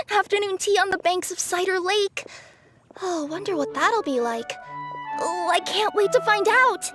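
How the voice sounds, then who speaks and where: A bubbly young cat-girl courier in an anime game. Her voice is high and girlish, with an eager, cheerful and polite way of talking. There is clear music underneath. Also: unhurried, a bit bright. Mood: excited.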